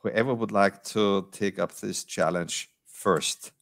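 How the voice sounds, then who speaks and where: A man moderating a panel discussion, measured and polite as he wraps it up. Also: low-pitched, gravelly.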